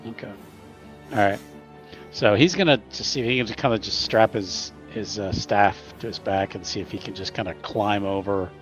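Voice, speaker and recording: Low-voiced, low-key male tabletop player. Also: moderate pace, highs cut off. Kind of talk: casual game chat. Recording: music playing behind. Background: background music.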